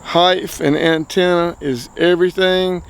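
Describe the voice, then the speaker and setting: A man with a North Carolina accent hosting a casual outdoor radio test, talking in a relaxed, chatty way and explaining as he goes. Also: high voice, a little slow, gravelly, smooth, rough recording. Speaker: outdoors channel host. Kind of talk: casual gear-test vlog. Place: outdoors by a car.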